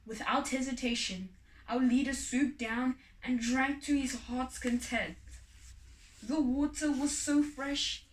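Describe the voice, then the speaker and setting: A woman narrating a children's folk tale expressively, with deliberate, dramatic phrasing and pauses. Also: fairly high pitch. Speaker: storybook reader. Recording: echoey room, distant microphone.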